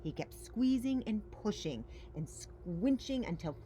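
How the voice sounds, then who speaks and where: A woman's voice: mid-range voice, expressive, a little slow. A woman reading a children's picture book aloud as a story-time reader, expressively and with dramatic emphasis. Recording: faint background noise.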